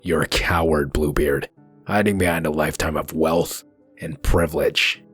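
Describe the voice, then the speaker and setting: A man playing a gruff, commanding werewolf detective in a comic dub, curt and threatening, with a gravelly voice. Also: very deep voice, steady tone, normal speed, a bit bright, faint music behind, heavily acted. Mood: stern, menacing.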